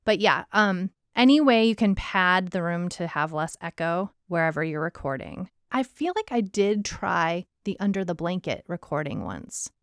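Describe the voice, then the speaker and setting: A woman's voice: fairly low pitch, smooth, dry recording, clean studio sound. A woman co-hosting a podcast in an informal, conversational style. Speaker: podcast co-host. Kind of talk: casual co-host chat. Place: home room padded with blankets and rugs.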